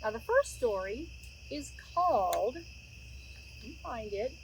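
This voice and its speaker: A middle-aged woman narrating a storybook aloud for young listeners, expressive, with chatty asides. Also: on the high side, slow, creaky, slight background noise, rough recording. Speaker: children's story reader. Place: outdoors near a road, woods.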